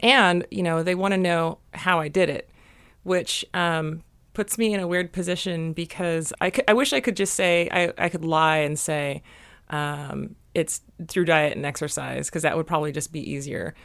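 A young woman with a hoarse, gravelly voice, pitched a little low. She chats on a podcast in a halting way, with lots of ums and a dry, self-mocking wit. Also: a bit bright. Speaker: podcast co-host. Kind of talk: casual podcast chat.

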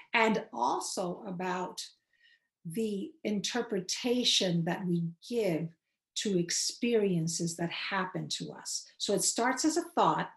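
A woman from Queens, New York City, giving measured, explanatory answers as an interview guest. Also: medium pitch. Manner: measured, explanatory, slows for key points. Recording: roomy echo.

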